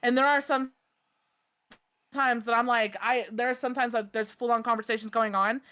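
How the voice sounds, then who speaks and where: Loud, blunt young woman with a high voice. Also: moderate pace. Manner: blunt, swearing freely, lively retellings. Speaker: podcast co-host. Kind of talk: casual chat.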